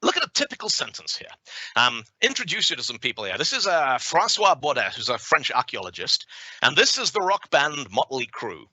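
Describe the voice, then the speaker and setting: A British man giving a conference talk with a live demo, wry and self-deprecating, full of quick asides. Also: on the high side, big pitch swings, sharp tone, husky, no echo, low-quality recording. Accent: British English. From Britain. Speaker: conference speaker.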